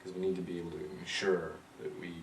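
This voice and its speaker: A young man answering interview questions in a relaxed way, thinking aloud with lots of ums and uhs. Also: low-pitched, fast. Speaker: interviewee, rover systems engineer. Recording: roomy, distant mic, clear background noise.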